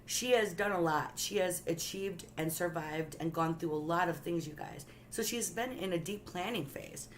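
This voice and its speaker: A woman with a lowish voice, strained after a coughing fit, leads a live tarot reading from home. She talks to her viewers in a chatty, gentle way and sometimes drops almost to a whisper. Faint background noise sits under the voice. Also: moderate pace, hardly any pauses. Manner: says she has a loud voice but keeps it soft. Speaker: tarot reader hosting a live stream. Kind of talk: casual live-stream chat and tarot reading.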